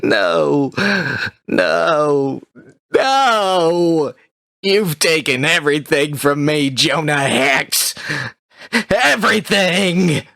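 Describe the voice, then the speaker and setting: A man playing a blustering, folksy outlaw henchman in a western audio drama, with a gravelly voice over loud music. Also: on the high side, expressive, extremely slow, dry recording, studio quality, heavily acted. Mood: anguished rage. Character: hot-headed Old West outlaw henchman, servile yet vengeful.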